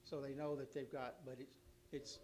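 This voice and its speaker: Hoarse man giving a rambling report. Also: medium pitch, level tone, darkish tone, slight background noise. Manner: rambling, halting, many restarts and fillers. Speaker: city official giving a utility report. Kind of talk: council meeting report.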